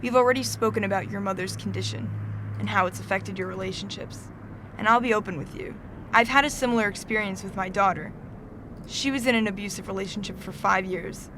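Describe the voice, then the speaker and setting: A woman acting a composed, earnest middle-aged therapist in a student audio drama, speaking in a measured, professional way. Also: mid-range voice, background noise, natural voice. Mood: earnest, sober.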